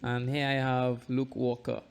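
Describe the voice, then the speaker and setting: Male podcast host, gravelly creak, mid-pitched, casual interviewing. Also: completely flat delivery, a little slow.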